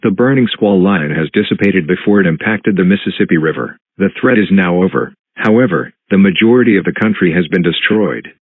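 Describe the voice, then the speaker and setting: A man playing a solemn, official emergency alert announcer, reading formal, measured scripted notices. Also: low voice, expressive, no room sound. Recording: muffled lo-fi broadcast audio.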